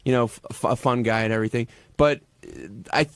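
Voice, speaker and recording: A man in casual radio studio conversation, answering questions in a halting, defensive way with repeated restarts. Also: medium pitch, fairly even intonation, clear, resonant tone, dry recording. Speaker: radio show regular, interviewed guest.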